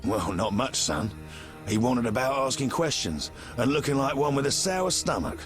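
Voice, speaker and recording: A man's voice: medium pitch, big pitch swings, moderate pace, over music, natural voice. An actor playing a friendly, eccentric old village man in a video game, chatty and folksy. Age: older.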